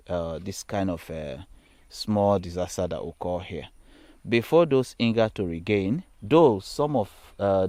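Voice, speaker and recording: A man with a Sierra Leonean accent explains things in an unscripted, instructional way, deliberately and with emphatic repetition. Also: fairly low pitch, darkish tone, no room sound. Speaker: agricultural trainer. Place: outdoors on a farm plantation.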